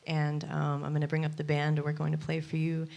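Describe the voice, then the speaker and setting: A woman hosting an audience question-and-answer session at a live event, speaking in a relaxed, chatty way. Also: low voice, fairly quick, creaky. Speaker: event host and performing musician.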